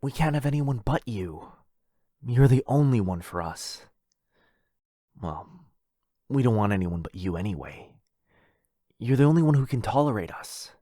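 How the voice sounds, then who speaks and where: A man playing a needy, wounded yandere vampire brother in a boyfriend roleplay audio drama, halting and intense. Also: mid-range voice, animated, warm tone, dry recording, clean studio sound. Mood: desperate devotion.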